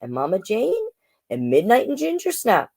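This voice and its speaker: A woman's voice: mid-range voice, wildly swooping pitch, normal speed, vocal fry, darkish tone, breathy edge. A woman hosting a storytime, reading a children's book aloud expressively and coaxing young listeners with little asides. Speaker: storytime host, drag king performer.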